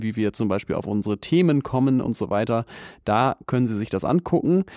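Male podcast host, calm, measured, mellow voice, dry studio sound. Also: mid-range voice, no room sound. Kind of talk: news podcast presenting and interviewing.

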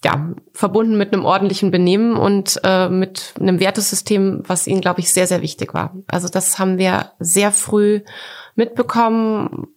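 A woman in her forties from Coburg, a guest speaking in a relaxed interview, thoughtful and hesitant, with many fillers. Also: mid-range voice, normal speed. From Germany, Coburg. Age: 40s. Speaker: podcast guest, art collector.

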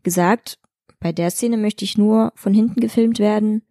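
A young actress talks candidly and pragmatically as a podcast guest, and she laughs readily. Her voice is mellow and sits in the middle range for a woman. The recording is dry and close. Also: mid-range voice, steady tone, moderate pace, no room sound. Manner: frank, pragmatic, laughs often.

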